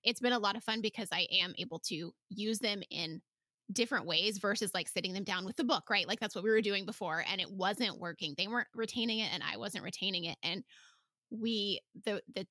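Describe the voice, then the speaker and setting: Bright-voiced woman hosting a casual chat. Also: medium pitch, brisk, no echo, studio quality. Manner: animated, informal storytelling. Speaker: podcast host; homeschooling parent.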